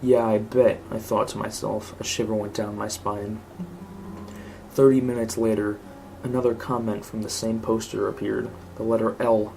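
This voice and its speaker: A man's voice: lowish voice, little pitch movement, normal speed, darkish tone, faint music behind. A man reading a scripted horror story aloud as narrator. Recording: clearly noisy home recording.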